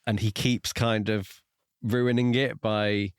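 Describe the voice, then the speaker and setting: Male podcast co-host, slightly low voice, informal and tentative, recorded close and dry. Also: little pitch movement, slow pace, clean tone, no room sound. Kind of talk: casual book review discussion.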